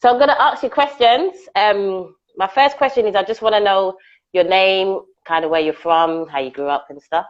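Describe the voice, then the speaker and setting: A woman's voice: medium pitch, moderate pace. Lady host on a video call, super enthusiastic, kinda muffled audio. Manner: effusive, gushing with compliments. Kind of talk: live-stream interview.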